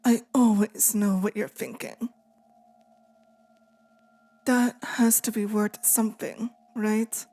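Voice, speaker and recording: A woman's voice: mid-range voice, fairly even intonation. A woman playing a lonely, clingy demon in an intimate ASMR roleplay, speaking tenderly and hesitantly. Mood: clingy, sweet. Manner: slow, hesitant, tender, softly pleading. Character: lonely, clingy female sleep paralysis demon, wistful and possessive.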